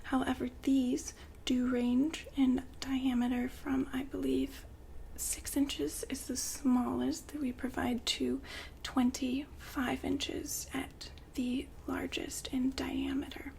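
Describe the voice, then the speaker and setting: A woman whispering softly and breathily in an ASMR roleplay, playing a gentle, attentive shop consultant. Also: sits high, little pitch movement, takes it slowly, vocal fry. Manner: gentle, attentive customer-service patter. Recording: faint background noise and ambience.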